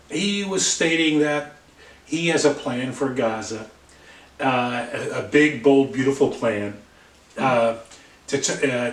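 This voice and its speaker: A man's voice: medium pitch, echoey room, distant mic. A man hosting an interview show, talking conversationally and stumbling over words at times. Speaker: talk show host. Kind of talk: interview host.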